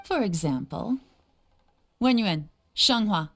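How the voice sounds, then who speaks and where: A woman's voice: mid-range voice, expressive, fairly bright tone, full character voice. An actress playing a composed, shrewd, commanding female spymaster in a video game. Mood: knowing, composed. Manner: composed, measured, authoritative.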